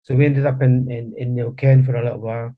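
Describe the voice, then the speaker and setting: A middle-aged man, a native Spanish speaker from Chile, speaks as an interview guest. He tells a personal story in a conversational way, halting and restarting his phrases. The recording is slightly dull and lacks detail. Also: medium pitch, little pitch movement.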